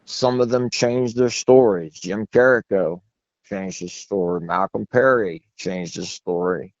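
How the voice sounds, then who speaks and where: Low-voiced man on a muffled phone line. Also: a little slow, no room sound. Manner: long-winded, self-deprecating, anecdotal. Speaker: interview guest, author. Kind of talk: rambling phone interview conversation. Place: phone call. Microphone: telephone.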